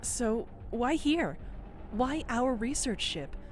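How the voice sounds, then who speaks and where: An actress playing a blunt, analytical scientist aboard a research ship, asking demanding questions in an audio drama. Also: female voice, a little high, expressive, slow pace, a little hiss or hum.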